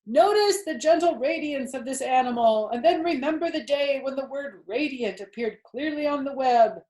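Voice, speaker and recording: A woman voicing a grand male fair announcer who speaks loudly, slowly and formally over the loudspeaker. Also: sits high, lively intonation, pitched above the performer's own voice. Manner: loud, slow, formal public address delivery. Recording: roomy echo.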